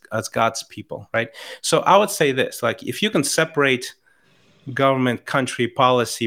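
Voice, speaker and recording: A man's voice: mid-range voice, pushed and bright. Chilean guy on a podcast, earnest, keeps restarting his sentences. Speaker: podcast guest. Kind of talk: casual conversation.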